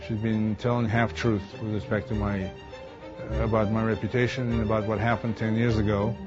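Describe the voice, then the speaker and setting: A middle-aged man with a Ukrainian accent, born in Ukraine, speaking earnestly and haltingly in a testimonial interview. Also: lowish voice, little pitch movement. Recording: dull lo-fi audio with music behind.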